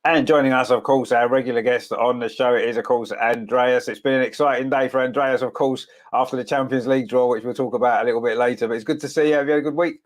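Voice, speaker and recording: A man with a cold, sounding croaky as he hosts a casual football chat show, full of "of course" and tag questions. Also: medium pitch, fairly even intonation, quick pace, few pauses. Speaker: podcast host.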